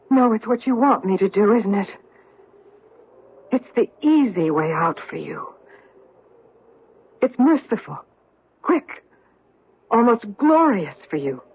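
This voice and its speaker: An actress in a 1970s radio drama playing a woman survivor who calmly accuses a war criminal, in an intense, measured way. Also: medium pitch, slightly rough, full character voice. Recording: narrow tinny 1970s radio broadcast.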